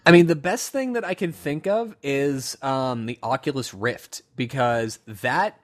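A man co-hosting a casual gaming podcast, speculating excitedly and rambling with lots of fillers. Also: mid-range voice, a little slow. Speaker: podcast co-host.

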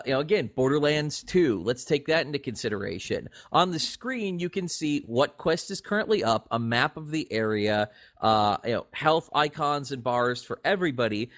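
A man co-hosting a podcast, talking casually about video games at a medium pitch. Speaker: podcast co-host. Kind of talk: casual video game discussion.

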